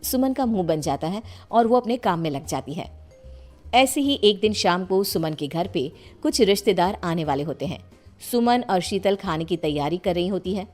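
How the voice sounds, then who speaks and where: A woman's voice: on the low side, expressive, normal speed, a little mellow, soft background music. A woman narrating a family story as scripted storytelling.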